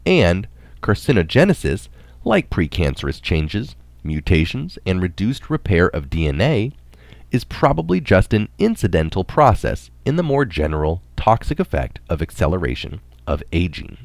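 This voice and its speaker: A man's voice: sits low, very animated, moderate pace, creaky, no room sound. A man narrating a scripted science article, reading it aloud.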